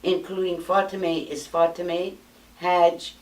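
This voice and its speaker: An American woman in her eighties reads her own life story aloud from notes in a measured, steady way, seated in a small indoor room. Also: fairly low pitch. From United States. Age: 80s. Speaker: memoir narrator. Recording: roomy sound from a distant mic.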